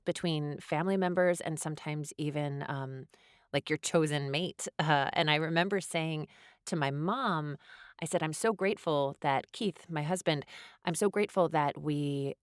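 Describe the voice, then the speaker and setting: A woman's voice: low voice, clear, resonant tone, no room sound, studio quality. A woman answering interview questions as a guest, thinking aloud in hesitant, thoughtful phrases. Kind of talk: reflective interview conversation.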